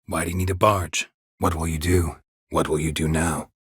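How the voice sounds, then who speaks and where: An actor playing a gruff, stoic, sardonic middle-aged monster hunter in a video game, a gravelly rasp and terse, deadpan delivery. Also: male voice, very deep voice, moderate pace, dry recording, studio quality, heavily acted. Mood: dry, questioning. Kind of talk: video game character dialogue.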